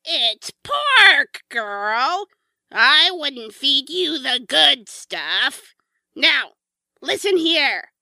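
A woman's voice: sits high, big pitch swings, sharp tone, no room sound, clean studio sound, heavily acted. A woman playing a menacing, spiteful old witch in a fairy-tale audio drama, domineering and theatrical. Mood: threatening, bossy. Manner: theatrical, drawn-out, domineering delivery.

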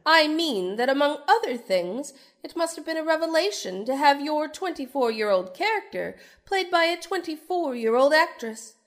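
A woman's voice: sits high, animated, moderate pace, hardly any pauses. A woman playing a proud, jealous, middle-aged stage actress with biting sarcasm in a theatrical radio drama style. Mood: icy sarcasm. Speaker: voice actor in an audio drama. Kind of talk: theatrical old-fashioned radio drama acting.

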